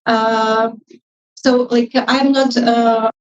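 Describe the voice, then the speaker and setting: A woman's voice: fairly high pitch, little pitch movement, very slow. A woman who is not a native English speaker, giving informal feedback from the audience in a hesitant, tentative, apologetic way. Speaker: audience member, junior UX designer. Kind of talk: informal spoken feedback and questions. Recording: muffled live event audio, distant mic.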